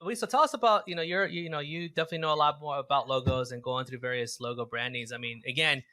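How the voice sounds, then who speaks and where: A man hosting a podcast, talking in an eager, casual way. He often trips into restarts and repeated words. His voice is bright and forward, recorded dry and close. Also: medium pitch, steady tone, quick pace, dry recording. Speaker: podcast host.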